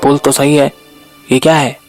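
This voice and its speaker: A man's voice: medium pitch, little pitch movement, moderate pace, darkish tone, faint music behind, natural voice. A man acting village men in a cartoon moral tale. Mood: earnest.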